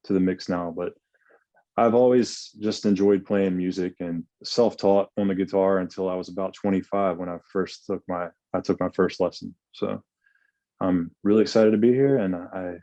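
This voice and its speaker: Brief: low, monotone man, mellow voice, dull dry video call audio. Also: normal speed, no echo. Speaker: guitarist guest. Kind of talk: self-introduction on a panel.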